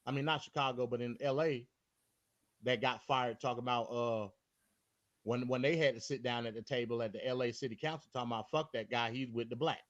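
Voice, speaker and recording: A man's voice: mid-range voice, steady tone, fairly quick, highs cut off. A man speaking bluntly and with profanity, arguing casually about politics as a call-in guest over a phone.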